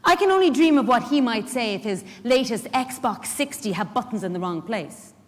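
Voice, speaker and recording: A woman's voice: on the high side, animated, normal speed, lightly acted. A woman with an Irish accent performing a live dramatic monologue as a feisty, protective care worker, in a conversational, wry manner. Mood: indignant, pleading. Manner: conversational, wry, rhetorical questions to the audience. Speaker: actor in a dramatic reading. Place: large indoor event hall. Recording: echoey hall, live stage reading.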